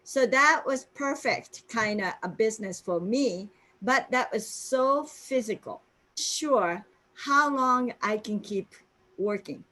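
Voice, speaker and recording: A woman's voice: a little high, animated, takes it slowly, a bit bright, low-quality recording. A woman in her sixties with a Japanese accent, earnestly telling her personal story on a video call. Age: 60s. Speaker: guest speaker sharing her story.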